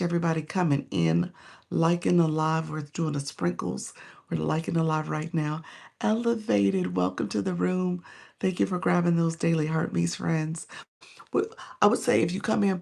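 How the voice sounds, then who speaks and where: A hoarse, tired woman coaching on a phone live stream, greeting viewers by name in casual chat between her points. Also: fairly low pitch, darkish tone.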